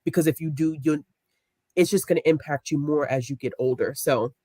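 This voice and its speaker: A woman's voice: low voice, fairly quick, warm tone, no echo. A woman co-hosting a casual book discussion, talking in an emphatic, conversational way. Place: online group video call.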